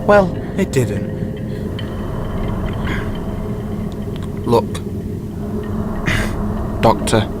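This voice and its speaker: A man acts a sarcastic young travelling companion in a sci-fi audio drama. His mid-pitched voice is dry with sarcasm and exasperation, over a clearly noisy background. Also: big pitch swings, very slow. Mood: exasperated. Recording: noisy ambience behind the voice.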